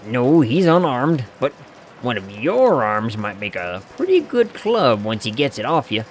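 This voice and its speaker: A man voicing a friendly, teasing hobo drifter with a folksy, unhurried way of talking, reading a game character's lines aloud. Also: mid-range voice, big pitch swings, a little hiss or hum.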